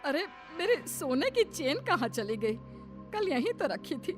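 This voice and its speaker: Woman playing older wronged mother-in-law, high-pitched, fretful, animated story drama, music behind. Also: a little slow. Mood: worried. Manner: plaintive, dramatic. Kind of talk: animated Hindi story voice acting.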